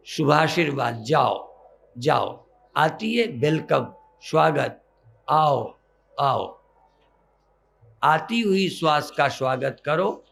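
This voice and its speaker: A man leading a guided breathing meditation as a spiritual teacher, coaxing gently in short, repeated phrases. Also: fairly high pitch, creaky.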